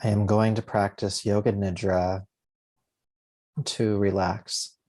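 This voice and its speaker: A man leading a guided meditation as a yoga nidra teacher, speaking gently and soothingly in spaced, unhurried phrases. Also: on the low side, level tone.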